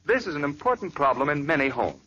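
A man's voice: very animated, quick pace, bright, forceful tone, slight background noise. Persuasive old-time radio announcer man. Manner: smooth, persuasive pitch. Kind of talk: 1940s radio commercial. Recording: old muffled radio recording.

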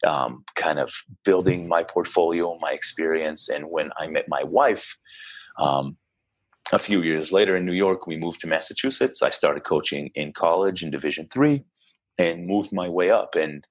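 A man's voice: sits low, normal speed. A man from Israel in his thirties, a basketball coach talking earnestly and casually as a podcast guest. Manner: earnest, chatty, frequent restarts and fillers. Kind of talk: casual interview chat.

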